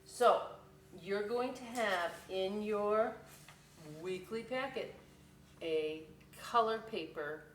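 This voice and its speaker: A woman's voice: medium pitch, slow, a little hiss or hum. A woman hosting a library story time, reading a picture book aloud with chatty, folksy asides to children. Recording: echoey room, distant microphone.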